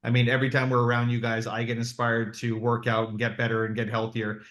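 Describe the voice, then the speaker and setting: A man hosting a virtual summit who prompts his guests in a friendly, hurried way, heard over a video call. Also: mid-range voice, monotone, fairly bright tone, echoey room. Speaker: summit host. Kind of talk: virtual panel discussion.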